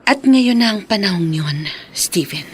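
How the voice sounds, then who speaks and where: A woman acting as a wealthy, vengeful widow in a radio drama. She schemes coolly and taunts theatrically, slipping into English, with slow, swooping delivery over a faintly noisy recording. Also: medium pitch, animated, natural voice. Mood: bitter, vengeful. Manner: theatrical, taunting, mixes English into her talk. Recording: clear background noise.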